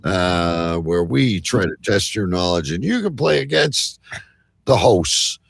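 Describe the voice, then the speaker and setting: A man hosting a bantering comedy quiz, halting as he sets up questions, then reading them out emphatically. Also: deep voice, sing-song, gravelly, no room sound, studio quality. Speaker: quiz host.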